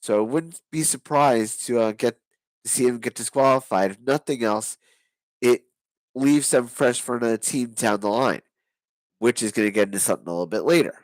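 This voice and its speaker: Hoarse male vlogger, mid-pitched, rambling delivery full of restarts, dry close sound. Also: normal speed, no echo, poor audio. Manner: rambling, with many restarts and fillers. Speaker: wrestling predictions vlogger. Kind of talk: casual solo predictions talk.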